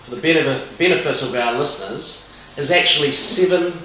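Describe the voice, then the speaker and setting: A man's voice: fairly high pitch, brisk, bright, forceful tone, a little hiss or hum. A man hosting a radio interview, asking long, rambling questions. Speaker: radio host. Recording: echoey room, mic at a distance.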